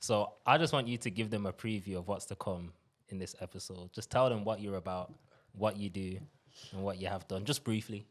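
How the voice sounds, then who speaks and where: A man hosting a casual interview podcast, upbeat and quick to laugh. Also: lowish voice, fairly even intonation. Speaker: podcast host.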